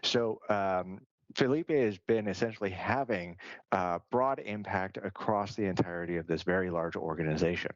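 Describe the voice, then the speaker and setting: A man's voice: sits low, normal speed, no echo, highs cut off. A man moderating a work event, introducing speakers in an upbeat, filler-heavy way.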